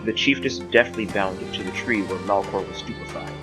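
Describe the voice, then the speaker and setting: Low-voiced dramatic male fantasy narrator. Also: fairly quick, music in the background. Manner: portentous, theatrical.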